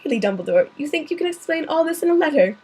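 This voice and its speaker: A woman's voice: high-pitched, very animated, fast, dark tone, voice pushed up. A woman doing a stern anxious old professor. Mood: worried. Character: stern older female professor, fretful.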